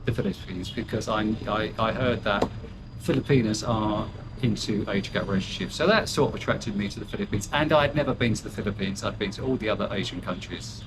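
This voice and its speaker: A man's voice: lowish voice, brisk, background noise. An older British man chatting candidly as an interview guest, rambling with fillers and restarts and poking fun at himself. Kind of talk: casual chat.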